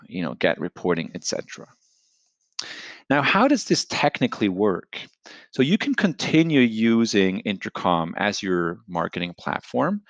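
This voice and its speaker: A man giving an explanatory software tutorial, talking through it step by step in a conversational, unscripted way. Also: fairly low pitch, dry recording. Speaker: software product presenter. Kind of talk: screen-share product tutorial, explanatory.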